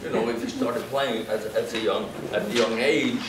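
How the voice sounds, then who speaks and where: A man's voice: medium pitch, fairly even intonation, normal speed, far from the mic. A man with a foreign accent, not a native English speaker, chattily hosting an onstage concert interview in a noisy, roomy hall. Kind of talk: informal onstage interview before an audience.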